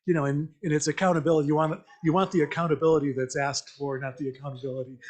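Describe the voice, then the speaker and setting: Man, fairly high-pitched voice, informal lay sermon, conversational, reflective, halting phrasing, questioning asides. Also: fairly even intonation. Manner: invites listeners with questions. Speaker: lay church speaker. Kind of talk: informal interactive church message.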